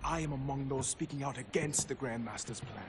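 A man's voice: mid-range voice, a little fast, background noise, lightly acted. An actor playing a proud, indignant young assassin in a video game, defiant and intense in his delivery. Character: indignant, proud male assassin clansman, loyal, hot-tempered. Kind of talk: video game cutscene acting.

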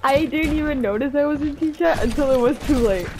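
A woman's voice: high-pitched, brisk. A woman playing an online shooter with a friend, joking and trash-talking casually. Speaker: gaming co-player. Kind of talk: casual chat while gaming. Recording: game music behind voice.

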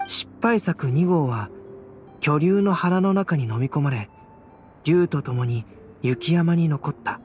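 A man playing a calm, cerebral young alchemist in a Japanese anime game, speaking in a measured, soft-spoken, enigmatic way. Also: fairly high pitch. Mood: solemn storytelling. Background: background music.

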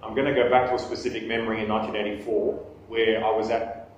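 A man's voice: lowish voice, little pitch movement, fast talker, highs cut off, slight background noise. Man speaking in an echoey room. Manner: conversational, self-deprecating humor, frequent restarts. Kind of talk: live talk with questions. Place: small meeting room, indoors. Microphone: far camera on table. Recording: echoey room, distant camera mic.

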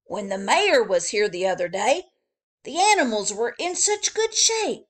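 A woman reading a storybook aloud, voicing a male zookeeper in charge, loud and emphatic. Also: a little high, sing-song, a little slow. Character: puzzled, bossy male zookeeper.

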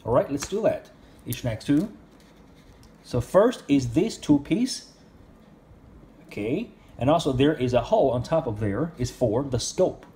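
A man's voice: mid-range voice, animated, measured pace, pauses often, mellow. A man reviewing an action figure in casual speech, with simple, non-native English phrasing. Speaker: toy reviewer.

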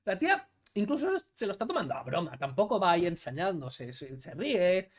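A man's voice: sits high, very animated, a little fast, noisy background. A man in his thirties from Catalonia giving indignant, emphatic commentary on clips, seated in a small room. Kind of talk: opinionated commentary video. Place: small room with blue LED lights, indoors.

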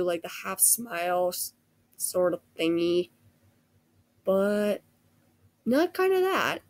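A woman's voice: mid-range voice, a little mellow, no room sound. Sick girl w a cold rambling thru an art critique, lots of um and restarts, dry computer mic. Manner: rambling, hesitant, many restarts and fillers. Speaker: art critique video host. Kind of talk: casual art critique over screen recording.

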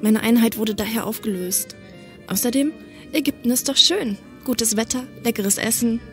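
A woman acting a higher-voiced, direct policewoman. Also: moderate pace, a bit bright, faint music behind. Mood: polite, direct. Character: muscular female police newcomer from Brussels, polite, direct. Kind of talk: German audio drama acting.

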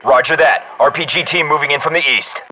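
A man's voice: fairly high pitch, brisk, sharp tone, a little hiss or hum, low-quality recording. A man playing a focused, professional special forces squadmate in a game, giving terse, businesslike callouts. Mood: focused, alert. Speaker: soldier in squad. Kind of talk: military game radio callouts.

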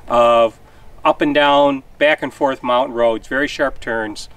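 A man's voice: medium pitch, steady tone, a little slow, fairly bright tone, clean tone. A middle-aged man chatting casually as a travel vlogger, standing outdoors by his trailer. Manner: chatty, loose, repeats himself. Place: outdoors beside an RV on a desert overlook. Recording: distant outdoor mic with background noise.

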